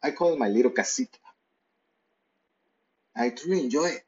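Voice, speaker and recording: Animated man telling a playful pet story. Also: mid-range voice, moderate pace, highs cut off. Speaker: pet owner narrating. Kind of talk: first-person storytelling from a pet's view.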